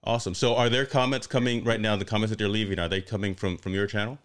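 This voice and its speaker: A man hosts a casual live interview show. His voice sits very low and sounds smooth and resonant. He chats informally, repeating short phrases to drive a point home. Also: steady tone, fast. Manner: chatty, repeats phrases for emphasis. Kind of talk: casual livestream interview hosting.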